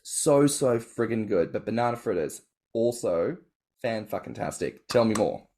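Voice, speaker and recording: A man with an Australian accent, hosting a casual podcast and talking with eager, animated enthusiasm. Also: on the low side, moderate pace, slight background noise. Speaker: podcast host.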